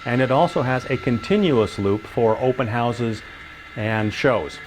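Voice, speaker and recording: A man's voice: medium pitch, a little slow, background noise. A man hosting a casual, explanatory tour of a hobby layout. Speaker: host giving a tour of a model railroad layout.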